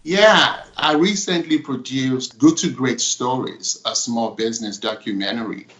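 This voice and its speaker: A man's voice: mid-range voice, unhurried. Earnest man on a dull, lo-fi line. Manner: earnest, steady, upbeat. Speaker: documentary producer, interview guest. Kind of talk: interview answers.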